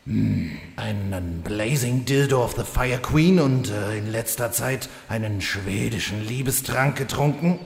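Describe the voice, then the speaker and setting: Mann spielt einen knarzigen Bösewicht, Dämonenelf, langsam, drohend, halliger Raum. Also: male voice, medium pitch. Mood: puzzled. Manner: gravelly, slow, menacing villain speech. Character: scheming imprisoned male demon-elf villain, frustrated. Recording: roomy reverb.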